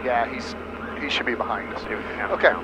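A man's voice: mid-range voice, normal speed. A middle-aged American man giving hands-on flight instruction, explaining things step by step over a headset. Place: small airplane cockpit in flight. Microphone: aviation headset. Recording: headset audio with engine noise.

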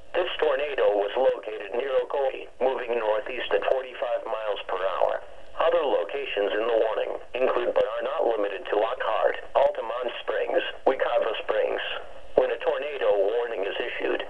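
A synthetic male announcer reading a formal, measured emergency weather warning bulletin over the radio. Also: mid-range voice, slightly rough. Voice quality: synthetic text-to-speech voice. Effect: radio transmission. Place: weather radio playback, filmed up close. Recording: muffled lo-fi weather radio speaker.

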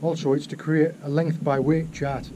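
A man's voice: a little high, moderate pace. Young man fishing from a windy boat. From England. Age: young adult. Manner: chatty, excitable, explains as he works. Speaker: fishing vlogger. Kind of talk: casual commentary while fishing. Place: small open boat at sea. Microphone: action camera mounted on the boat. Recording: noisy outdoor camera audio at sea.

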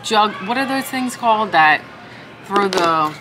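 A woman's voice: mid-range voice, expressive, a little slow. An American woman in her thirties chatting casually as a vlog co-host, picked up by a camera across the table. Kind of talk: casual chat. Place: balcony and restaurant tables. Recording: noisy camera audio with ambient din.

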